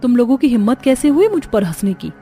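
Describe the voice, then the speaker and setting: A woman's voice: fairly high pitch, sing-song, quick pace, dark tone, faint music behind. A woman voicing a bossy, spiteful elder sister-in-law in a cartoon story, haughty and scolding. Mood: furious. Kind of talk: Hindi cartoon story dialogue.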